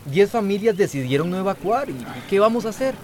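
Concerned Costa Rican worker, high voice. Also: male voice, big pitch swings, fast talker, darkish tone, a little hiss or hum. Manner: concerned, reporting. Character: concerned male municipal worker.

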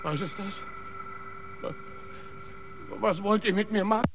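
A man acting a frightened captive who halts and protests his innocence in a German radio drama. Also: high voice. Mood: frightened, pleading. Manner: protesting, halting, evasive. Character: frightened male captive pleading his innocence under interrogation. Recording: echoey, very noisy, long reverb.

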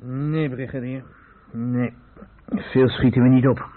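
A narrow, tinny old radio recording. A man performs a weary police inspector with a medium-pitched voice, frustrated, musing deliberately as he thinks aloud. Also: fairly even intonation, lightly acted. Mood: discouraged. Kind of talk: Dutch radio play acting.

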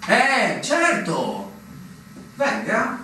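A man acting a secretive, dreamy fortress soldier in a radio play, halting and mysterious in his delivery. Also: very high-pitched, huge pitch swings, bright, forceful tone, full character voice. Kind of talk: theatrical radio drama acting. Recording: echoey, distant stage sound with faint noise.

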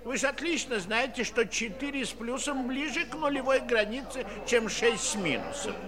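A performer playing an excitable but conciliatory scholarly colleague, speaking theatrically in a children's audio play. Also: medium pitch, moderate pace, lightly acted. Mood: teasing, placating. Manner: theatrical, expressive. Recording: old studio play, loud music and crowd.

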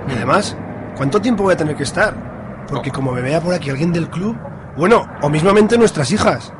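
A man's voice: a little high, expressive, fairly quick, noisy background, close to an everyday voice. A man playing a snobbish, grumbling father. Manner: grumbling, impatient. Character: snobbish middle-aged father, Esteban, grumbling.

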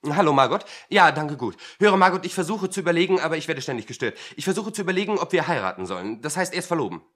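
Indecisive boss, radio drama, husky, very lively intonation, hesitant, stammering, exasperated. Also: male voice, on the high side, quick pace. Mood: agitated. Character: flustered, indecisive male company director, pondering his engagement. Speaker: actor. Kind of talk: radio play acting.

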